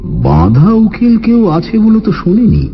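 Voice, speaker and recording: An actor playing a family doctor who confides and explains in a measured way, in a radio drama. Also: male voice, sits high, huge pitch swings, exaggerated voice. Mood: measured, grave. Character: male family doctor confiding a patient's troubled household. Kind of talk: Bengali radio drama dialogue. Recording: muffled radio audio with faint music.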